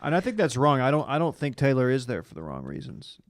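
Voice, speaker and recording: A man's voice: mid-range voice, huge pitch swings, fast, dry recording, clean studio sound. A man chatting casually and giving his opinions about a reality TV show.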